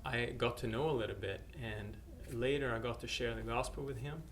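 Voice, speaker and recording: A middle-aged man from Norway shares his missionary testimony at an even, mid-range pitch. He talks haltingly, full of filler sounds and restarts. A faint hiss of noise sits under the recording. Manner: hesitant, many uhs and restarts. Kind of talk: personal testimony to camera.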